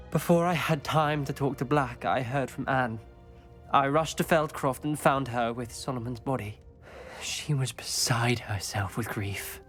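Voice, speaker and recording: A man's voice: mid-range voice, fairly bright tone, close to an everyday voice. A man playing a grave, conscientious teenage schoolboy wizard in a dramatic video game, solemn and formal, with music behind.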